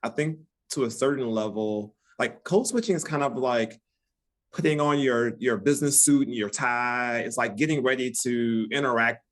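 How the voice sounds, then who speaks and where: A man's voice: medium pitch, normal speed. A man with an American accent, a guest on a relationship podcast, talking casually with frequent restarts and fillers. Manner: rambling with many restarts and fillers. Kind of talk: casual conversation.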